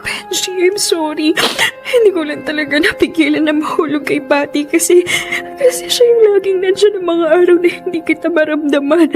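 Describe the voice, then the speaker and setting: A woman's voice: very high-pitched, moderate pace, a little mellow, faint music behind. An actress playing a guilty, apologetic cheating girlfriend in a radio drama. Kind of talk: Tagalog radio drama acting.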